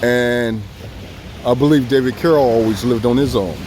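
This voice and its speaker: A man's voice: mid-range voice, moderate pace, a little hiss or hum, low-quality recording. Socal dude vlogging on his phone by the beach, laid-back and rambly, waves faint behind him. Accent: Southern Californian. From Southern California. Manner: relaxed, rambling, repeats himself, chuckles often. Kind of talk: casual tribute vlog. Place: outdoors by the beach. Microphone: phone or camera filming himself.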